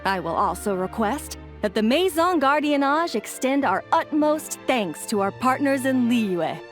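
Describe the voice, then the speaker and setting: Game dub: a brisk, commanding officer, bright and animated. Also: female voice, high voice, soft background music. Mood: grateful. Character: brisk, upbeat woman police officer.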